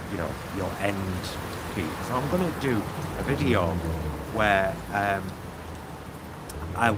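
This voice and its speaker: Deep-voiced man vlogging over loud vehicle noise. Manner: halting, reflective, trailing into tag questions. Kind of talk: casual rambling vlog monologue to viewers. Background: loud vehicle rumble and ambience.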